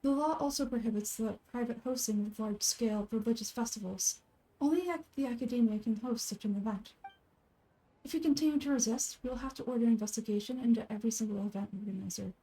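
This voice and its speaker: A woman puts on a stern academic official from a fantasy game. The delivery is formal and pompous, full of contempt for lesser people. The tone stays mellow, with music underneath. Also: on the high side, fast, a little hiss or hum, lightly acted, rough recording. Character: stern, haughty official scholar, contemptuous and threatening.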